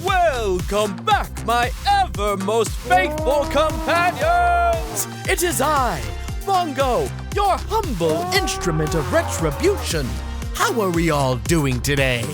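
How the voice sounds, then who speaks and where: A grandiose, cruel game-show host in an audio drama. The delivery swoops wildly and takes its time, singsong and mock-courteous, over prominent music. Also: male voice, very high-pitched, sing-song, takes it slowly, full character voice. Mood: gleeful showmanship. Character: theatrical, gleefully sadistic livestream game-show host.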